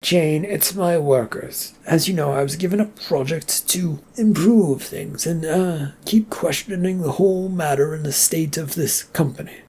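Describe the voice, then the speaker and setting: Reader voicing a stressed stammering manager guy, gravelly, mellow. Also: mid-range voice, measured pace, few pauses. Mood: flustered. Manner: stammering, flustered. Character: flustered male manager, stressed.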